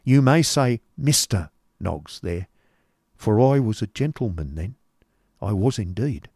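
A man voicing a shabby, humble male clerk who was once a gentleman, reading out his rambling letter. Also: lowish voice, animated, unhurried, husky, no room sound, studio quality, full character voice. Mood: wistful.

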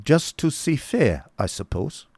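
A man's voice: big pitch swings, unhurried, gravelly, darkish tone, slightly rough, no echo, exaggerated voice. A man voicing a frank, blustery baron in a storybook reading, with an exclamatory, emphatic delivery. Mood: curious. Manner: exclamatory, emphatic, jumping up in pitch.

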